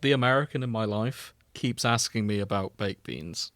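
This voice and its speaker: A man with a fairly low voice hosts a podcast. He talks casually and jokily, as if among friends. The sound is close and dry, with very little room on it. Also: normal speed, a bit bright, no echo. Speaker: podcast host. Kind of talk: casual comedic chat.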